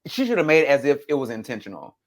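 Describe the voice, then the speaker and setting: Animated man in casual podcast chat. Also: mid-range voice, racing. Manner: casual, slangy, swears. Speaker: podcast panelist. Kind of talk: casual group chat recapping a TV show.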